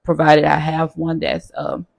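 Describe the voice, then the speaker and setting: A nurse chatting casually with her viewers in a how-to vlog, talking straight to them as y'all, her voice hoarse. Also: deep voice, level tone, unhurried, a little mellow. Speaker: nurse sharing her own experience.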